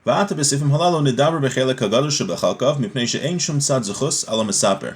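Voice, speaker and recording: A man's voice: mid-range voice, animated, very fast. A man giving an informal religious lesson, reading a text aloud and explaining it step by step, often checking that listeners follow. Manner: explanatory, checks understanding with rhetorical tags like "right?".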